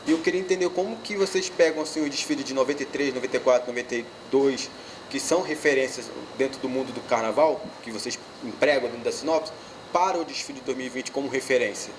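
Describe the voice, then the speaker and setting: Audience man, inquisitive question, mid-pitched, clear hiss in room. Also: quick pace. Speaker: audience member asking a question. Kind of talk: spontaneous question at a panel.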